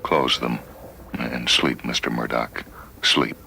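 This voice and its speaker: A man playing a calm, deferential robot servant in a radio story, measured, formal and soothing. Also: extremely low pitch, gravelly, a little hiss or hum, voice pushed down, full character voice, rough recording.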